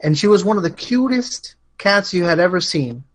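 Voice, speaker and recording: A man's voice: high voice, animated, moderate pace. A man talks casually as a guest on a live show. He feels his way through what he says, thinking aloud. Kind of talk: casual chat.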